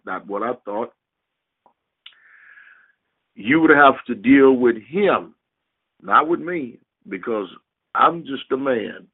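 A man preaching a sermon over a conference call, speaking emphatically and deliberately in short, halting phrases. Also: mid-range voice. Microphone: telephone. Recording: tinny narrowband phone line.

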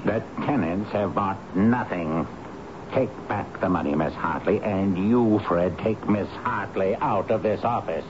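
An actor playing a cold, penny-pinching landlord in a radio drama, brusque, clipped and commanding. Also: male voice, low voice, a little slow. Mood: irritated, dismissive. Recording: noisy, dull vintage radio broadcast.

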